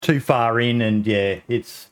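A man's voice: mid-range voice, takes it slowly, clean tone. A man from Australia hosting a casual podcast chat, talking with many ums and restarts. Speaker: podcast host.

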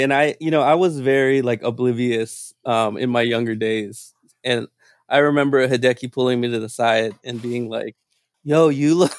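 A man's voice: mid-range voice, dry recording, studio quality. A man in his thirties hosting a casual chat, telling stories playfully, laughing and swearing freely. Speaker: host.

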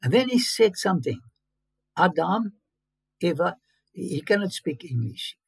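A fairly high-voiced man, raspy and gravelly, recounting his own story in English with sudden emphatic bursts. His speech halts and restarts as he goes. The sound is dry and close, with no room around it. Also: sing-song, unhurried, no echo. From South Africa. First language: Afrikaans. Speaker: interview guest.

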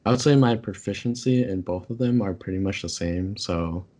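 A young man co-hosting a podcast, talking casually with a slightly low voice. His first language is Taishanese, and he wanders through his points with fillers and restarts. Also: normal speed. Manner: relaxed, many fillers and self-corrections. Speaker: podcast co-host.

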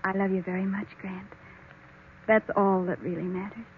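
A woman playing an earnest young niece and new bride, gentle and placating, in old radio drama style. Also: medium pitch, level tone, normal speed, slight background noise, natural voice. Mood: affectionate. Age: young adult. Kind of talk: 1940s radio drama acting. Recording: tinny, narrow old radio recording.

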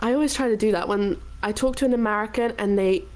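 A woman guest musician chatting casually on a live stream, quick to laugh at herself. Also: mid-range voice, a little mellow. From Wales. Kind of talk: casual chat.

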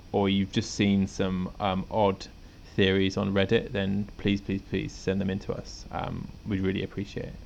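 A man's voice: lowish voice, gravelly, muffled recording, slight background noise. A man co-hosting a podcast in a laid-back, rambling casual chat, often chuckling. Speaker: podcast co-host.

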